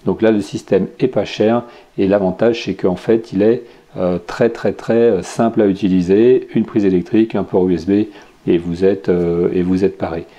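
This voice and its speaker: A man's voice: deep voice, steady tone, moderate pace, mellow. A French man hosting a podcast, casually reviewing tech and games with many fillers and restarts. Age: adult with children. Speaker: podcast host.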